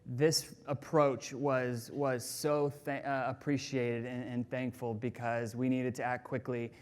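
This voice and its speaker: A man with a clear, smooth voice at an average pitch co-presents at a conference. His delivery is informal, with little rise and fall. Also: level tone, a little slow. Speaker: UN agency staffer, conference co-presenter.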